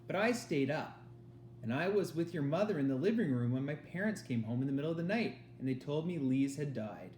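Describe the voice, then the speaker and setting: A man's voice: fairly high pitch, expressive, fairly quick, a little mellow, reverberant, a little hiss or hum, lightly acted. A man voicing an earnest, reassuring young girl confiding in her best friend in a storybook reading. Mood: somber.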